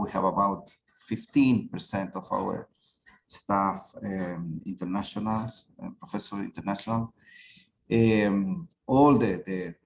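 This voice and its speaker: A middle-aged man from Chile speaking as a university rector on a panel, halting, with many fillers and repeated words. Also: low voice, slight background noise. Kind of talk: panel talk.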